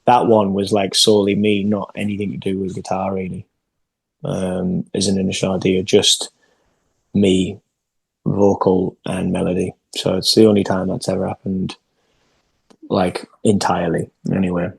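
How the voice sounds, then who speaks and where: A man's voice: sits low, completely flat delivery, moderate pace, gravelly, breathy edge, dry recording, rough recording. A young English man, a singer-songwriter, chatting in an interview over a video call and often restarting his sentences. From England. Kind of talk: casual interview chat.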